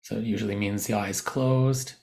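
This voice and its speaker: Gravelly-voiced man guiding meditation. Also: on the low side, steady tone, moderate pace, a little mellow. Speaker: meditation guide. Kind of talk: guided body scan meditation, unscripted spoken instruction.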